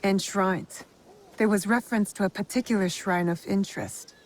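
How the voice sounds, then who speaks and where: A woman's voice: mid-range voice, steady tone, normal speed, close to an everyday voice. A woman acting as a determined young shinobi in a video game. Her voice sits in a medium register, and she speaks formally and coolly, with a pointed, resolute edge.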